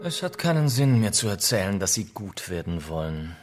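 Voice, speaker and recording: A man's voice: fairly low pitch, very animated, normal speed, music in the background. A man playing a cynical, mocking aristocrat with an urbane, epigrammatic manner in a German radio drama. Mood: amused.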